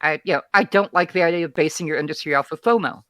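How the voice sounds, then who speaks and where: A guest from Texas with a softened Texan accent, talking candidly and thoughtfully in a casual remote interview. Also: medium pitch, quick pace, dry recording, studio quality. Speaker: guest, feed curator. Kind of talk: casual conversation.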